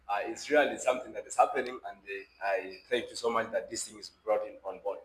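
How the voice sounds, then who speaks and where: A man's voice: on the low side, fairly even intonation, fast. A young man from South Sudan with a South Sudanese accent gives his opinion conversationally, emphatically checking that the listener follows. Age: young adult. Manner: emphatic, repeatedly checks listener understands with questions. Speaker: podcast guest. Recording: roomy echo with faint background noise.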